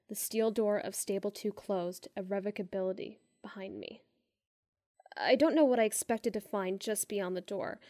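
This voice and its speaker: A woman narrating a first-person story in a measured way, sinking to hushed tones in tense moments. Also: mid-range voice, no room sound, clean studio sound. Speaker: audiobook narrator.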